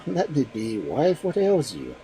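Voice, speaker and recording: A man's voice: mid-range voice, huge pitch swings, unhurried, warm tone, slight background noise. A man voicing an exasperated, stubborn farmer husband in a fairy tale, grave and emphatic.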